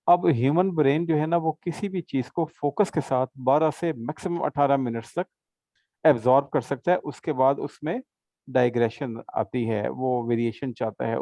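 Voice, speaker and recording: A man teaches English patiently, mixing in examples and frequent check-ins. His voice is mellow and medium-pitched, recorded dry and close. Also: creaky, dry recording. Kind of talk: conversational tutoring session.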